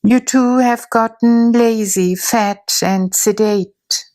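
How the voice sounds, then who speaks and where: A woman narrating a guided imagery story in a slow, soothing way, with long pauses. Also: fairly high pitch, fairly even intonation, dark tone.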